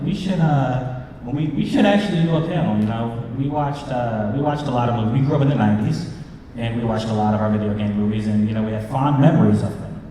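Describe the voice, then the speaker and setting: A man's voice: medium pitch, warm tone, distant mic. Man joking casually in an echoey, noisy hall. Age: 30s. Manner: joking, informal. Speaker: panel co-host, movie reviewer. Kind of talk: casual convention panel presentation. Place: convention panel room with audience, indoors.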